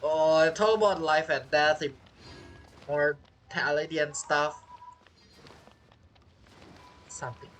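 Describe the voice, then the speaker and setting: A man's voice: fairly high pitch, slow, long pauses, fairly bright tone. A man giving casual, chatty gameplay commentary, reacting informally as he plays. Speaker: game streamer. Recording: game music behind voice.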